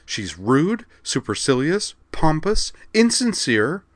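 A man's voice: mid-range voice, normal speed, fairly bright tone, no echo, pitched above the performer's own voice, natural voice. A man reading the part of an outraged young alien woman student, snappish and indignant.

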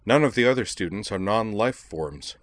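A man's voice: on the low side, expressive, moderate pace, no room sound, natural voice. A man voicing a cold, harsh, indignant male professor in an audiobook, formal and commanding in manner. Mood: cold, clipped. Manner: stern, cutting, formal.

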